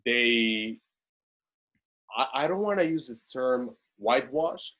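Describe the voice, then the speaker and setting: Young man with a mid-pitched voice. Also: lively intonation, slow pace, fairly bright tone. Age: 20s. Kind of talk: casual discussion on a video call. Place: small room, indoors. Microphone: computer on a video call.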